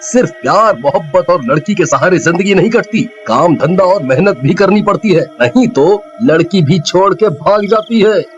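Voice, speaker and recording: A man's voice: high-pitched, animated, moderate pace, hardly any pauses, dull audio. A man voicing an earnest, proud young village hero in an emphatic, melodramatic way. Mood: scolding. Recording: music under the voice.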